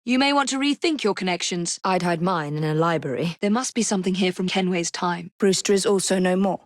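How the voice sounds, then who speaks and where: A woman's voice: on the low side, fairly quick, dry recording, close to an everyday voice. Woman playing a poised English lady assassin, dry studio sound. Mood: focused. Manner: crisp, formal period phrasing, dry wit. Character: poised, determined young Victorian lady assassin, witty and brisk. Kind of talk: video game character voice lines.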